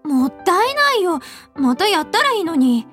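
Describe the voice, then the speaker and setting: Earnest, persistent high school girl played by a woman, high-pitched and direct, radio drama co-lead over faint music. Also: big pitch swings, moderate pace, fairly bright tone, heavily acted. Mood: earnest encouragement. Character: earnest, persistent teenage schoolgirl learning ballet. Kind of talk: radio drama dialogue and narration.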